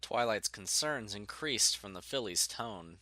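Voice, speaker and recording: A man narrating a scripted story in an expressive storytelling manner. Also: fairly low pitch, measured pace, dry recording.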